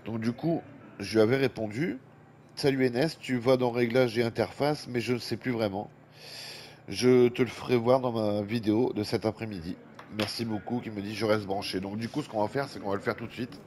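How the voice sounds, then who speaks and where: Low-voiced French man with a head cold. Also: fairly even intonation, a little fast, a little mellow. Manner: chatty, breathes heavily at times. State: has a head cold, congested. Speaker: gaming YouTuber. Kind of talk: casual gameplay commentary. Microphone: desk microphone with fan running nearby. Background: faint fan noise.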